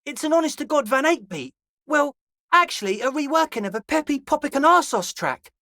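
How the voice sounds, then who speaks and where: A male actor playing a young, excitable but laid-back club organiser in a video game, talking in earnest, slangy dude speak. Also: very high voice, a little fast, no room sound, clean studio sound, full character voice. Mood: enthusiastic, friendly. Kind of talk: video game character dialogue.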